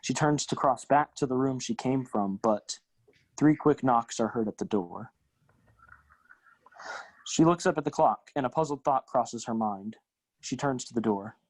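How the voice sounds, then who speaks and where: A man reading stage directions as scripted narration, in measured, neutral, deliberate phrases. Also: mid-range voice, no room sound, low-quality recording.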